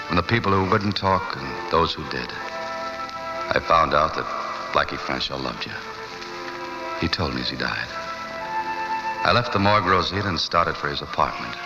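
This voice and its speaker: Deep-voiced hard-boiled American reporter. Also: male voice. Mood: somber, reflective. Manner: deadpan, wisecracking. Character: hard-boiled male newspaper reporter, wry and dogged. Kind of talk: 1940s radio drama acting. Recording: dull vintage radio recording, music behind.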